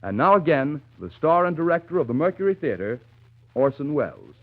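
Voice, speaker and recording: A man's voice: a little high, big pitch swings, a little fast. A man with an American accent reading formal scripted announcements as a 1930s radio announcer. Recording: thin, narrow vintage 1930s radio broadcast.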